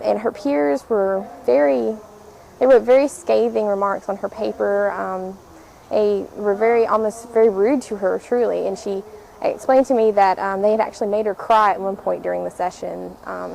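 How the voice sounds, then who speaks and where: Halting young woman, creaky voice. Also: medium pitch, darkish tone, slight background noise, poor audio. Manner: halting, hesitant delivery with frequent ums. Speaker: writing tutor. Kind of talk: personal anecdote.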